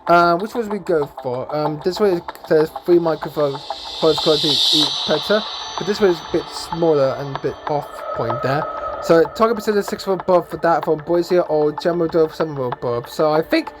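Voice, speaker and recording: A man giving casual gameplay commentary as a YouTuber, rambling and stumbling over his words, his voice hoarse and going. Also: on the high side, normal speed, noisy background. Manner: rambling, stumbling, tags lines with "eh?" and "then, guys". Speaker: gaming YouTuber. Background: game music.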